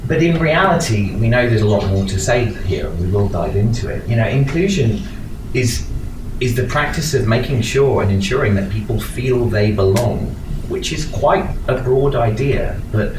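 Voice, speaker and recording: A man's voice: medium pitch, expressive, normal speed. A man giving a conversational talk to a live audience, with many fillers and restarts. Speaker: meetup speaker. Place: meeting room with an audience. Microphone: distant room mic. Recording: echoey room from far off, noisy.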